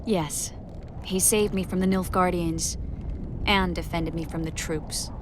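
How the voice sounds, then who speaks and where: A woman's voice: fairly low pitch, steady tone, unhurried, slight background noise, close to an everyday voice. A woman playing a weakened, caring young sorceress in a fantasy video game. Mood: subdued concern.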